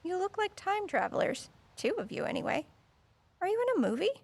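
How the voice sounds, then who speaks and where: A breezy female dog owner in an audio drama. Her high voice swings animatedly and has some creak, with a chatty, exasperated manner. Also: big pitch swings, fairly quick, a little mellow, heavily acted. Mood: friendly, teasing.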